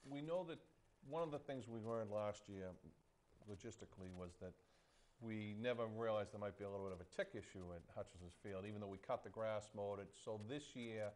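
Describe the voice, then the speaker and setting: A middle-aged man and event organizer chatting on a local talk show, enthusiastic, his phrases trailing off quietly. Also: lowish voice, brisk. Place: studio set against an outdoor backdrop. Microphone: lapel mic.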